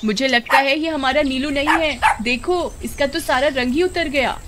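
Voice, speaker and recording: A woman's voice: sits high, moderate pace, very noisy, voice pushed up, natural voice. A woman voicing a melodramatic village wife who dotes on her pet dog in a cartoon. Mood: pained wailing. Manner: gushing, wailing, melodramatic. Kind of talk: Hindi cartoon voice acting.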